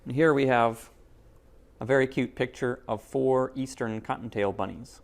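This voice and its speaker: A man hosts a picture book read-aloud in a casual, presentational style. His voice sits at a middling pitch with noticeable creak. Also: steady tone, moderate pace.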